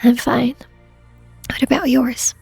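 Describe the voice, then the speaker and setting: A woman playing a stubborn, determined researcher in a fan-dubbed game story, terse and resolute with a husky, creaky voice. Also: high-pitched, level tone, takes it slowly, darkish tone, dry recording, full character voice. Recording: faint background music.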